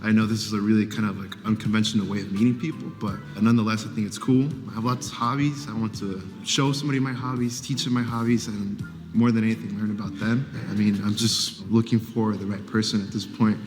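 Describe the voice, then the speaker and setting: A man in his twenties from Mexico, making a relaxed, rambling dating pitch with many hedges. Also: fast, in a large hall, faint music behind. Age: 20s. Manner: relaxed and rambling, hedging with "you know". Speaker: dating show contestant.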